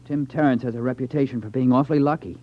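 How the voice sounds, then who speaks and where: A man's voice: medium pitch, rapid-fire, natural voice. An actor in a 1950s radio drama playing a naive young man who speaks in an anxious, hesitant, earnest way. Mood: nervous, earnest. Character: nervous, naive young man mixed up with gamblers. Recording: dull 1950s radio broadcast recording.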